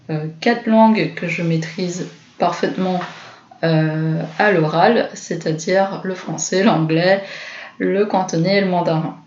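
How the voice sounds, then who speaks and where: Slow-speaking woman in an echoey room. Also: on the low side, darkish tone, dull audio. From France. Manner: unhurried, with frequent hesitations. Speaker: SEO consultant and vlogger. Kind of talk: casual self-introduction to camera.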